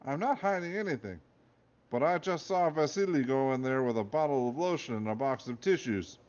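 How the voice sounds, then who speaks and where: Man voicing a sly young girl, raised voice, lively pitch, lo-fi sound. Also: fairly quick, natural voice. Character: clever young girl, the boss's daughter.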